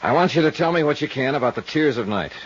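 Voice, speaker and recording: A man's voice: mid-range voice, lively intonation, quick pace, slightly rough, highs cut off. A man acting a hard-boiled, unflappable private investigator in a 1950s radio detective drama, with a clipped, dry, matter-of-fact delivery. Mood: brisk, insistent. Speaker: lead actor and narrator of radio drama.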